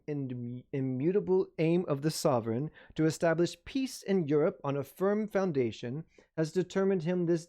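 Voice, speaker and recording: A man's voice: fairly high pitch, mellow, close to an everyday voice. A man voicing a stout, pompous German colonel in a novel reading, with a German accent and an emphatic, pedantic delivery. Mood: pompous, formal.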